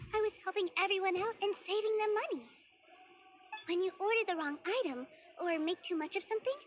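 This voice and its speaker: A woman voicing a kind, earnest young female theater dancer in a video game, speaking in a gentle, friendly manner. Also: very high-pitched, a little hiss or hum. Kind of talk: video game character dialogue.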